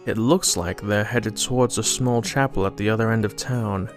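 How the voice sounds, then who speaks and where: A man playing a dry, learned monster hunter in an audio drama, with a measured and wry delivery. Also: on the low side, close to an everyday voice. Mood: grave, explaining. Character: dry, knowing male monster hunter with crossbow. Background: background music.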